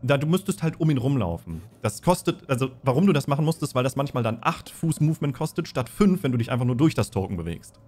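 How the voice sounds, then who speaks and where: A man's voice: mid-range voice, very animated, fast talker, hardly any pauses, a little mellow. A man acting as game master, explaining rules and narrating a tabletop role-playing game in a conversational way.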